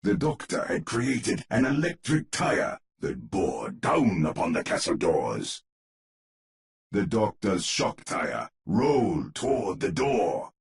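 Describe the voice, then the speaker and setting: A man playing a melodramatic storyteller, narrating a spooky heroic tale for a video game in a grand, theatrical manner with drawn-out emphasis. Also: low-pitched, creaky, exaggerated voice. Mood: ominous, grand. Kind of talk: theatrical scripted gothic horror-tale narration.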